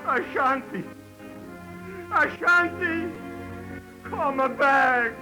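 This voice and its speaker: A man's voice: very high voice, extremely slow, music in the background, exaggerated voice. A man playing a frantic, pleading animal keeper in a 1940s radio drama, crying out desperately. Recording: vintage 1940s radio recording.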